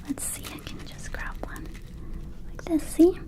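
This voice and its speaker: A woman's voice: measured pace, mellow, natural voice. A woman voicing a small, friendly, scatterbrained female vampire shopkeeper in an ASMR roleplay, whispering in a hesitant, casual, confiding way. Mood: soothing, enthusiastic. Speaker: ASMR performer.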